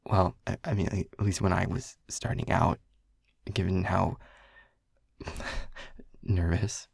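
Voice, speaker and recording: Stammering, husky, nervous young man, acted. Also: sits low, flat delivery, slow, darkish tone, dry recording, clean studio sound, heavily acted. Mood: sheepish, nervous. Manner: stammering, flustered, breathy nervous laughs. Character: nervous, earnest young male air elemental, shy gamer friend. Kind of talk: intimate audio roleplay addressed to the listener.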